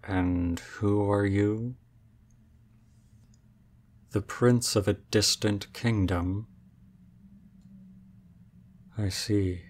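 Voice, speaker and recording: A man playing an aloof, regal dragon in human form for an ASMR roleplay, speaking in formal, measured, deadpan phrases. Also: on the low side. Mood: cool, questioning, then suspicious, cool. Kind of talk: ASMR roleplay audio drama.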